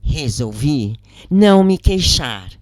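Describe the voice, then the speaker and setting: A narrator with a Brazilian accent reads a scripted first-person story in a measured, expressive way with deliberate pauses. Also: mid-range voice, no echo, studio quality.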